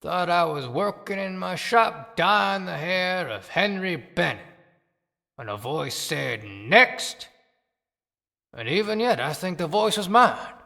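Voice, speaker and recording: A man as a haunted barber in deep reverb. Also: lively intonation, takes it slowly, vocal fry, bright, forceful tone, full character voice. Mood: brooding, eerie. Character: musing, haunted dead town barber. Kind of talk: theatrical audio play monologue. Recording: long reverberant echo.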